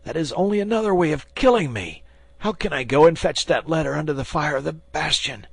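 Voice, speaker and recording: A man's voice: moderate pace, fairly bright tone, slightly rough, no echo. A man voicing a wounded, terrified soldier who begs for his life. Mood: pleading. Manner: pleading, high and strained.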